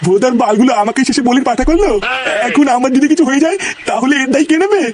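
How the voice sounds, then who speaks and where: A man's voice: extremely high pitch, lively intonation, fast, a crowd in the background. A man voicing a brash, shifty, foul-mouthed village fellow with a crude, blustering manner in a comedy cartoon. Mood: nervous grumbling. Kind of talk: Bengali comedy cartoon dubbing.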